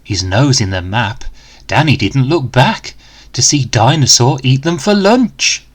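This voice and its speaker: A man reading a rhyming picture book aloud to children in an expressive, dramatic, deliberate way. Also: mid-range voice, breathy edge. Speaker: storyteller for children.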